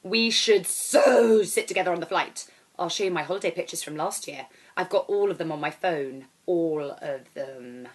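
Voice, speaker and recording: A woman voicing a brash, chummy, overly bright woman in her thirties who over-shares in slang, in a storybook reading. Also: on the low side, normal speed, close to an everyday voice. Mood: pushy, bubbly. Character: brash, gum-chewing, overly bright thirty-something airport holiday girl.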